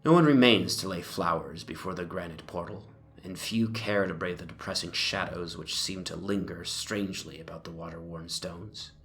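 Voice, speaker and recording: A man's voice: low voice, normal speed, hardly any pauses, fairly bright tone, voice pushed down. A man voicing a haunted, reclusive dreamer in a dramatic gothic horror reading, speaking in a solemn, formal, brooding way. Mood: ominous, solemn. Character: Jervas Dudley, haunted, reclusive dreamer recounting his obsession. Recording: narration over a music soundscape.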